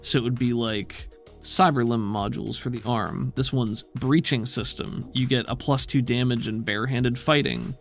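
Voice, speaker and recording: A man at a middling pitch runs a tabletop game, explaining the rules in a loose, thinking-aloud way. He swears casually. Faint music sits under his voice. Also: fairly even intonation, moderate pace, creaky. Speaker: game master.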